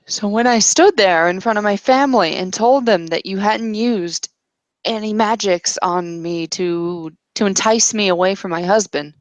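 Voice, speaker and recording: A woman playing a distressed, confused mortal woman in a roleplaying game, speaking haltingly with long pauses. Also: mid-range voice, no room sound, natural voice. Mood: hurt, confused.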